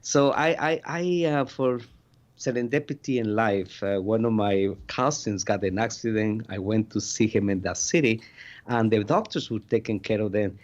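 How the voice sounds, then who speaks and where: A man's voice: fairly low pitch, moderate pace. Mexican-born man, earnest and lo-fi. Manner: earnest, non-native grammar, frequent restarts. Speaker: guest, physician and CEO. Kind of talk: interview storytelling. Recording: dull lo-fi sound.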